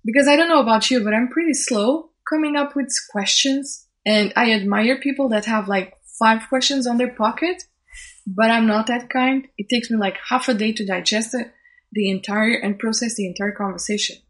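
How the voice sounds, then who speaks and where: A woman's voice: a little high, normal speed, a bit bright. A woman whose first language is Portuguese, a social scientist and event organizer, speaking thoughtfully in an interview and often restarting phrases as she searches for words. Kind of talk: interview conversation.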